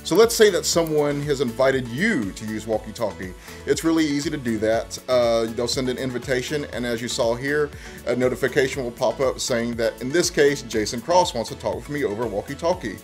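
A man's voice: medium pitch, expressive, a little fast, faint music behind. A man in his thirties presenting a how-to tutorial in a conversational, step-by-step way. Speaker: tech presenter. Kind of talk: casual how-to tutorial narration.

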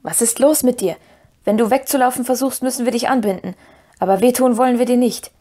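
A woman voicing a stern, commanding yet pitying male hobbit in a fantasy story, speaking in a firm, measured way. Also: on the high side, warm tone, pitched above the performer's own voice, close to an everyday voice. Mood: firm, wary.

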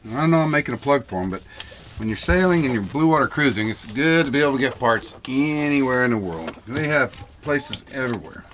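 A man's voice: medium pitch, animated, fairly quick, fairly bright tone, a little hiss or hum. A man in his fifties, plainspoken and chatty, explaining a repair job inside a boat cabin. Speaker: sailing vlogger. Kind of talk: casual how-to narration.

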